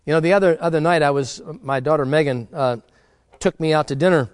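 A man's voice: on the high side, a little fast. A middle-aged man preaching a sermon, earnest and exhorting, often restarting his words as he talks. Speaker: pastor.

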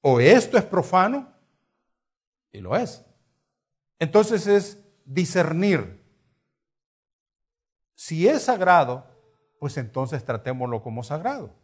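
A man's voice: fairly high pitch, sing-song, takes it slowly, long pauses, slightly rough. A middle-aged man with a Salvadoran accent preaching a conversational sermon, teaching with rhetorical questions and repeated phrases. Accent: Salvadoran Spanish.

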